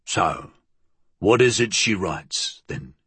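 Male narrator as old crook, gruff, husky, wary, rough-spoken, audiobook character. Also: lowish voice, animated, dry recording. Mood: wary reminiscing. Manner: rough dialect, slow and appraising.

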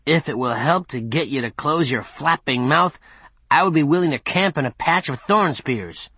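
Man playing a gruff, wary big warrior. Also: a little high, normal speed, sharp tone, no room sound, full character voice. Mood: irritated. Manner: sullen, terse, suspicious. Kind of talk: audiobook character voice.

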